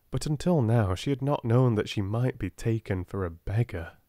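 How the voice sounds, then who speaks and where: British male storybook narrator, soft-spoken, lowish and lively, dry close sound. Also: no echo. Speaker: audiobook narrator.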